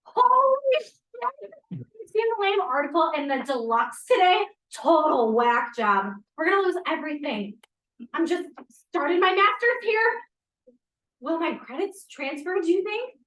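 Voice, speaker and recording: A woman's voice: very high-pitched, very animated, measured pace, fairly bright tone, full character voice. A woman playing dramatic, suspicious and brash college students in an informal script table read. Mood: outraged, brash. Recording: echoey room, distant mic.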